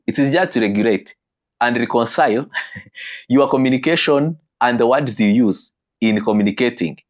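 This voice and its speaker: A man giving an animated teaching talk on marriage, his voice jumping in pitch and slowing down for emphasis. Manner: animated, with sudden pitch leaps and slowed emphatic phrases.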